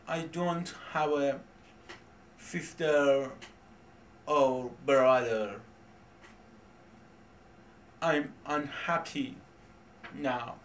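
Young man, Persian accent, very slow and hesitant, recorded from a distance with faint noise. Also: mid-range voice. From Iran. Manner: halting, many pauses and fillers. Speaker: vlogger. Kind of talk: hesitant self-introduction. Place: home in Tehran.

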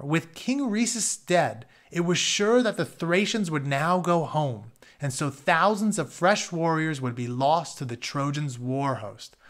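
A man in his thirties with a slightly high voice reads aloud from a storybook. He moves between narration and casual, plain-worded explanations for his listeners, recorded at a desk in a room at home. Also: fairly bright tone. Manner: informal, explains words in plain terms. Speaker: storyteller reading a book aloud. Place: carpeted home office, indoors.

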